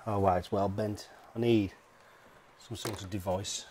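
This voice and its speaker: A man's voice: on the low side, fairly even intonation, measured pace, a little mellow, slight background noise. A British man giving a casual hands-on how-to commentary, informally thinking aloud as he works. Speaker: DIY vehicle vlogger.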